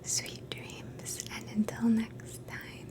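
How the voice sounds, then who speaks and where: A woman in a soft, gentle, mostly unvoiced whisper, slowly teaching phrases in a relaxing language lesson and repeating each one. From Canada. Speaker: ASMR host teaching phrases.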